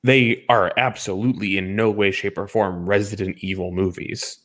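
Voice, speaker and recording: A man's voice: on the low side, steady tone, normal speed, fairly bright tone. A man co-hosting a casual film discussion, giving emphatic opinions and repeating points for stress. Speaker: podcast co-host reviewing films.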